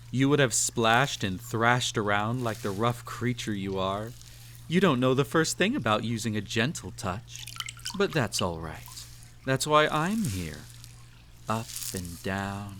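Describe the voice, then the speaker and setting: A man's voice: lowish voice, a little hiss or hum. A man playing a cruel, possessive emperor in a roleplay audio, speaking with haughty, silky condescension. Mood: indulgent, teasing. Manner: haughty, condescending, drawn-out teasing delivery with soft chuckles. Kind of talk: roleplay audio acting, intimate second-person monologue.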